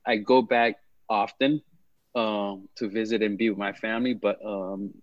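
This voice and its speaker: A man's voice: on the low side, fairly even intonation, measured pace, no room sound, muffled recording. A man in his fifties, originally from Haiti, telling his life story as an interview guest in an easygoing way. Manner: easygoing, repeats words as he talks. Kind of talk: relaxed storytelling in conversation.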